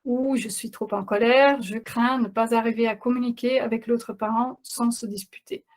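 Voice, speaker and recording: A somewhat high-pitched woman coach presenting in a webinar. She is not a native speaker and talks in a halting way, full of pauses, fillers and restarts as she explains her examples. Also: fairly even intonation. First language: not French. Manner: hesitant, many fillers and restarts. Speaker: parenting coach.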